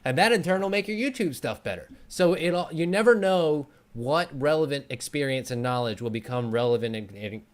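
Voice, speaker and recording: A man's voice: fairly high pitch, animated, a little fast, few pauses. A man talking as a guest in a casual conversation, explaining things as an online video creator.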